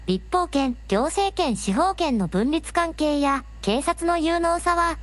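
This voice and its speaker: Woman as a gracious princess, high and lilting. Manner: formal, refined, very courteous. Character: gracious, earnest, polite young princess. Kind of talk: radio drama, synthetic narrated delivery.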